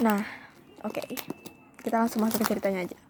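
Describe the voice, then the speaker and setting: A woman's voice: mid-range voice, steady tone, quick pace, noisy background. A young woman vlogger telling a storytime in a casual, chatty way, often calling her viewers "guys" and slipping into English phrases.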